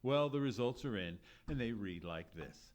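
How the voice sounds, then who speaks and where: A man's voice: medium pitch, animated, moderate pace, darkish tone. A man announcing an old-time radio show from a script, theatrical and emphatic. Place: live theatre stage.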